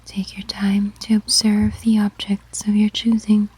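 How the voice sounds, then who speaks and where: Breathy, whispering woman guiding sleep meditation. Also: medium pitch, monotone, no room sound, rough recording. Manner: slow, gentle, measured instructions. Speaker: guided meditation narrator. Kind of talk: guided sleep meditation, ASMR.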